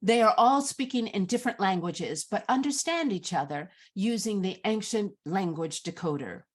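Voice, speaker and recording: A woman reading stage directions as narrator at a live screenplay reading, in a measured, descriptive manner. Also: mid-range voice, animated.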